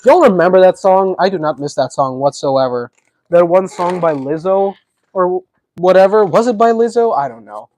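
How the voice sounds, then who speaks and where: A man's voice: sits high, expressive, moderate pace, darkish tone. A man, a native Russian speaker with a slight Russian accent, hosting a casual livestream. He chats while building, laughs often and answers viewers' comments. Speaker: livestream host.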